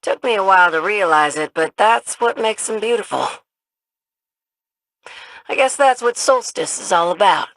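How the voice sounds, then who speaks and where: A woman's voice: mid-range voice, lively intonation, moderate pace, pauses often, fairly bright tone, no room sound, rough recording. An actress playing a folksy, upbeat woman who guides fighters in a video game. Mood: reflective, warm. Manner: folksy, informal drawl. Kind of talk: video game mission voice lines.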